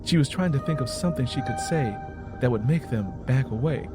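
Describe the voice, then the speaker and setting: A man's voice: medium pitch, expressive, a little fast, over music. A man reading a dramatic story as a scripted audiobook narration.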